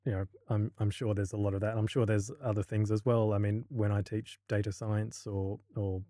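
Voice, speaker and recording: Mellow-voiced Australian man, hesitant speech. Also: lowish voice, little pitch movement, moderate pace, dry recording. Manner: hesitant, many restarts and fillers. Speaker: university lecturer, podcast guest. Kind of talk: casual podcast interview.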